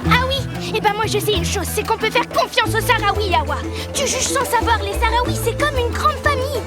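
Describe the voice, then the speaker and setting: A woman's voice: very high-pitched, fairly quick. A woman playing a headstrong, trusting young princess in a French cartoon, earnest and stubborn. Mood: defiant. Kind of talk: French animated series voice acting. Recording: loud music behind.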